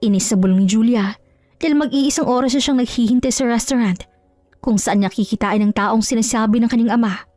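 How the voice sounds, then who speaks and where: A woman's voice: fairly high pitch, fairly quick, darkish tone, dry recording, soft background music. A woman with a Filipino accent giving dramatic scripted narration as a storyteller.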